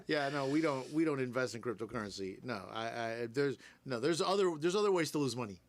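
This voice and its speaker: A man born in Cuba, a native Spanish speaker, hosting a casual radio chat about taxes, chatty and quick to laugh. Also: medium pitch, lively intonation, no room sound. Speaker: radio host, tax preparer.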